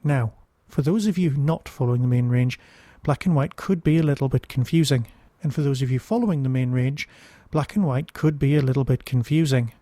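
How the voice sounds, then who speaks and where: Wry male podcast reviewer, mellow and dry-sounding. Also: medium pitch, fairly quick, few pauses, no echo. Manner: wry, digressive, conversational asides. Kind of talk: casual solo review commentary.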